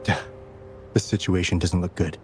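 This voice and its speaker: An actress playing a theatrical, cryptic and shrewd member of a secretive society in a video game. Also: female voice, extremely low pitch, fairly even intonation, moderate pace. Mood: curious, shrewd. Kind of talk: scripted game character dialogue. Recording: music behind the voice.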